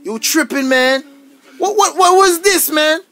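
An actor playing a fun-seeking visiting cousin in a radio family drama, protesting in repetitive slang. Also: male voice, very high voice, unhurried, sharp tone, exaggerated voice. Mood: aggrieved. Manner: slangy, protesting, tags phrases with "man". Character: laid-back, fun-seeking male cousin visiting from New York.

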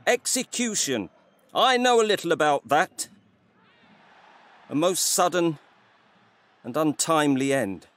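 A man narrating a literary story in a measured, scripted way. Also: on the high side, animated, fairly bright tone.

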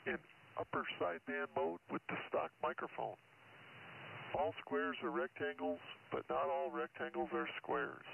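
A man's voice: low-pitched, sing-song, normal speed, gravelly, long pauses, no room sound, narrow, tinny audio. A man reading out a scripted test transmission, heard through a CB radio receiver. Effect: received over CB radio. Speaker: radio hobbyist doing transmit tests. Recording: noisy CB radio transmission with clicks. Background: radio static.

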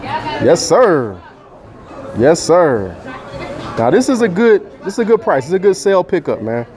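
An American man hosting a sneaker vlog in a store, bantering casually in an excitable, joking, slangy way. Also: fairly high pitch, a little slow, some chatter behind. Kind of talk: casual banter while browsing. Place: inside a mall sneaker store. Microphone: handheld phone or camera mic. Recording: loud music behind, store noise. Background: loud music.

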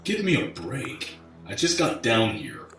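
An actor playing a weary, sarcastic, grumbling sewer maintenance worker in an audio drama. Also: male voice, medium pitch, huge pitch swings, a little slow, fairly bright tone, faint music behind. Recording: echoey tunnel, distant from the mic.

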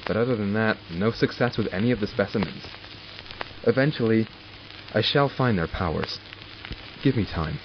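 A man playing a curious, wry doctor in an audio drama, dictating log entries in a measured, formal, dryly sardonic way. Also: fairly low pitch, slight background noise, lightly acted. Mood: lonely, brooding. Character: male doctor logging studies of supernatural captives, curious and wry. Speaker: voice actor. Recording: dull lo-fi recording with music behind. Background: music.

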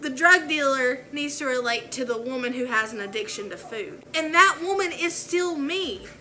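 A woman's voice: high-pitched, moderate pace, slight background noise. A young woman with a Southern accent, chatting earnestly about faith while folding laundry at home, talking with her hands. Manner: earnest, preachy and animated with hand gestures. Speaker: vlogger and preacher. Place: living room at home. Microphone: camera on the floor in front of her.

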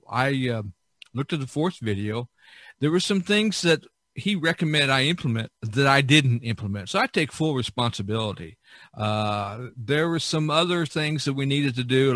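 Man, podcast host, casual talk, mid-pitched voice, dry sound. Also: fairly bright tone, dry recording.

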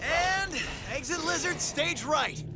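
An actor playing an earnest, wisecracking young superhero in a video game, speaking with urgency. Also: male voice, very high-pitched, animated, takes it slowly, very noisy, heavily acted. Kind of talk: video game action hero acting. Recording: loud action music behind the voice.

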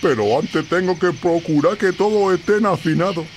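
A man's voice: high voice, moderate pace, slight background noise, lightly acted. A man playing a fussy, proud crab composer in a video game, speaking in a theatrical, grandiose way. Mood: proud, elated. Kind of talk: video game character acting.

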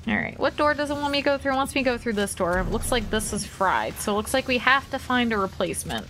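Bright-voiced playful woman streamer. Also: on the high side, big pitch swings, quick pace, slight background noise. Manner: playful, chatty, talks to chat while playing. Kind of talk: casual gameplay commentary to chat.

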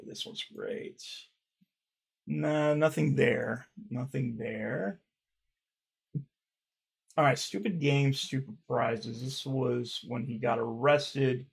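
A man in his thirties reading fan comments aloud on his channel, rambling and swearing, with a stutter he mentions himself, recorded by a webcam in a dark room at home. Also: mid-range voice, creaky, husky. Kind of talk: casual reaction commentary.

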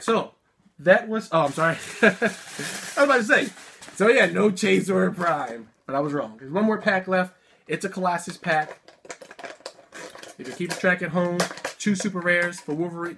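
A man's voice: sits high, a bit bright, a little hiss or hum. Chatty man unboxing figures at his desk. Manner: upbeat, chatty, quick little laughs. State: a bit sick, sniffling. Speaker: toy unboxing vlogger. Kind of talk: casual unboxing chat. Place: indoor room full of collectibles.

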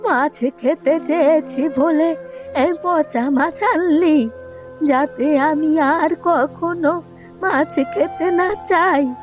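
An elderly mother from a village fairy tale cartoon. She is querulous and quick to take offence, with a high voice and very slow, drawn-out delivery. Faint music plays behind her. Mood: hurt, scolding. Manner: scolding, drawn-out complaining. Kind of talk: animated fairy tale acting.

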